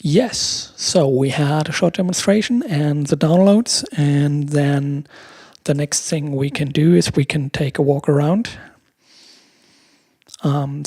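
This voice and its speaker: Bright-voiced man casually explaining software. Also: a little high, slightly rough, no echo. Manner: hesitant, searching for words. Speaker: host of a music production tutorial. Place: room at home. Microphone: close desk microphone with headphones.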